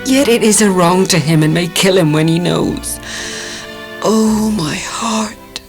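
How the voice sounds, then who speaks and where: A woman's voice: lowish voice, takes it slowly, music in the background. An actress playing an anguished, tender young dairymaid in love in a dramatic audiobook, hesitant and impassioned as she hides a secret. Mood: anguished, panting.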